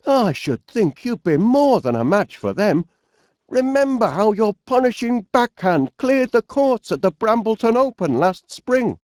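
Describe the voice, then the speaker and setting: An actor playing a fussy, absent-minded middle-aged inventor with a Northern English accent, politely musing aloud in an animated comedy game. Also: male voice, high-pitched, no room sound, studio quality. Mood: reassuring, chatty.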